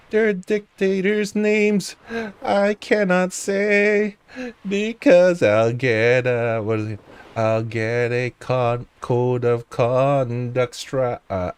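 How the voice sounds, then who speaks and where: A man's voice: mid-range voice, drawn-out words, clean tone. A man streaming a video game, casually commenting, joking to himself and singing or humming along. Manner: goofy, sings and hums along, talks to himself.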